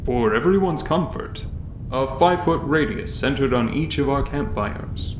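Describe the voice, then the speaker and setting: A man's voice: medium pitch, lively intonation, measured pace, natural voice. A man playing a courteous, restrained dwarf who speaks formally and politely in an audio drama. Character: courteous, earnest, restrained male dwarf in armor. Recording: roomy echo, faint noise.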